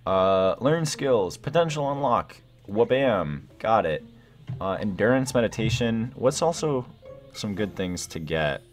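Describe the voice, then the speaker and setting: A young adult man gives casual gameplay commentary, excitable and slangy as he thinks aloud. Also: fairly low pitch, a little slow, soft background music. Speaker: gaming video host.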